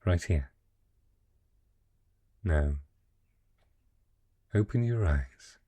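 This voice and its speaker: A man playing a wealthy dragon in human guise, a possessive, teasing merchant patron who coaxes the listener slowly and courteously in an intimate fantasy romance roleplay. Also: low-pitched, lively intonation, dark tone, heavily acted. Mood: intimate, admiring. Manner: slow, teasing, courtly, coaxing.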